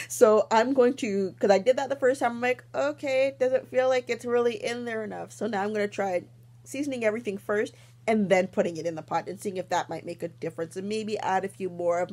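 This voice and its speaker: A woman's voice: mid-range voice, a little mellow. A young adult woman hosting a podcast, rambling casually with many ums and little laughs. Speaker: podcast host. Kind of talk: casual chat.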